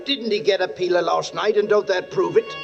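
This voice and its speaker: An actor playing a scoffing, excitable working-class local in a 1940s radio drama. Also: male voice, sits high, a little fast, gravelly, faint music behind. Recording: dull 1940s radio recording.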